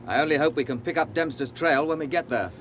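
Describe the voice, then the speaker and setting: An actor playing a brisk, keen police sergeant asking questions in a radio drama. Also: male voice, on the high side, a bit bright, a little hiss or hum, lightly acted. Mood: brisk, curious. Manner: keen, inquisitive. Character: eager, brisk male police sergeant on the hunt.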